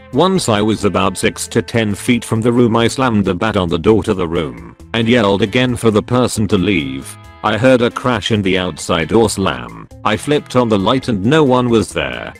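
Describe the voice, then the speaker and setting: Man narrating stories over soft music. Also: on the low side. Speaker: narrator reading online posts. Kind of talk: scripted narration. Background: soft background music.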